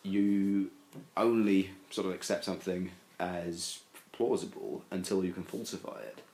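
A man's voice: deep voice, fairly even intonation, measured pace, darkish tone, echoey room, low-quality recording. A man talking casually as a podcast guest, hedging and rambling with many fillers and restarts. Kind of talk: casual discussion.

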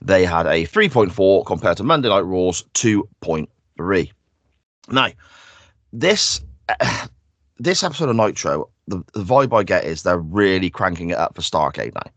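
A man hosting a casual podcast, a bit croaky and bunged up, chatty and quick to laugh. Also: low-pitched, big pitch swings, fairly bright tone, no echo. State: croaky, congested. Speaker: podcast host. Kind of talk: casual chat.

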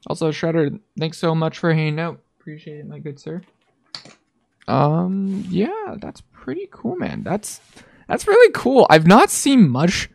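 Casual livestream host, mid-pitched. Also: sing-song, measured pace, creaky, a little mellow. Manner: informal and encouraging, with 'man' interjections. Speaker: livestream host interviewing a guest. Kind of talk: casual chat.

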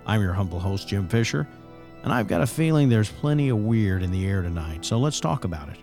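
A man's voice: on the low side, animated, brisk, a little mellow. An actor playing a late-night paranormal radio host in an audio drama, with polished, showman-like patter. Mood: inviting, showy. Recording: faint music under the voice. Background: faint music.

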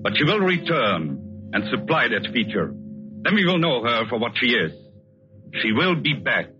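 An actor in a 1940s radio drama playing an intense, vengeful artist with a commanding, dramatic delivery. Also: male voice, mid-range voice, big pitch swings, moderate pace, bright, forceful tone, faint music behind. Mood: grim resolve. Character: intense, vengeful French artist bent on killing. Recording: tinny old radio recording.

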